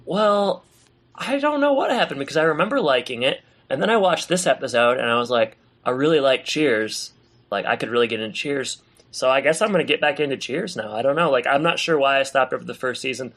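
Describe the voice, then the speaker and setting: Animated American man with creaky voice. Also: mid-range voice, brisk. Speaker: podcast co-host. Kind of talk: casual chat.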